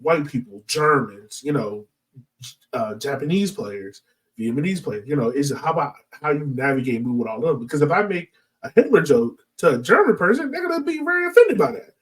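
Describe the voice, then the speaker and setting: A man's voice: fairly high pitch, sing-song, a little fast. A man hosting a podcast in a casual, conversational style, explaining his points and checking in as he goes. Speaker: podcast host. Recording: voice distant from the microphone.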